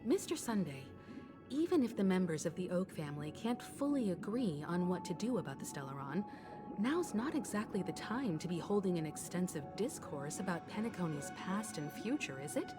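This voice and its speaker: An actress playing a sensible, assertive navigator in a video game, polite but pointed and businesslike. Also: female voice, lowish voice, very animated, moderate pace, over music. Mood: firm, tactful. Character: brisk, sensible, assertive female crew navigator.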